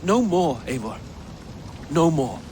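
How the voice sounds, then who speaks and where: A man's voice: fairly high pitch, very animated, slow pace. An actor voicing a young warrior shaken and bitter after his first battle. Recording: game cutscene with loud rain noise.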